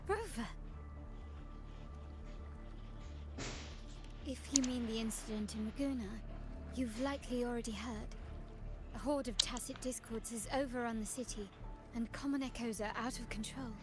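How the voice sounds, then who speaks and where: A woman's voice: a little high, fairly even intonation, a little fast, music in the background, close to an everyday voice. An actress playing a poised, authoritative young lady boss in a video game, speaking in a clipped, commanding way. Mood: grave.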